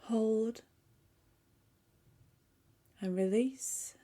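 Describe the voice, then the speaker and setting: A woman leading a guided meditation in a soft, calm voice that often drops to a near-whisper. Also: a little high, drawn-out words. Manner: soft, calm, hushed, half-whispered at times.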